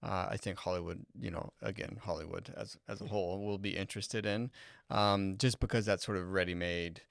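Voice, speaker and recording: A man co-hosting a podcast, giving relaxed, thoughtful opinions in casual chat. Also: low voice, fairly quick, gravelly, no echo, studio quality. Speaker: podcast co-host.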